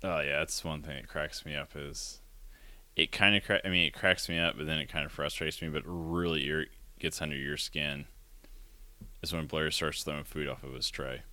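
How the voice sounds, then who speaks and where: A man in his thirties with a deep voice, hosting a casual podcast chat in a rambling, chatty way. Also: fairly even intonation, moderate pace, sharp tone, dry recording. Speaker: podcast host.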